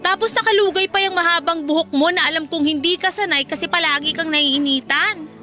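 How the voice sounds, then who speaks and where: Bright, very high-pitched frank best friend. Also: female voice, a little fast, soft background music. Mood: teasing. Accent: Filipino. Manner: blunt, questioning, expressive. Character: frank, teasing young female best friend, disapproving. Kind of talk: Filipino radio drama dialogue.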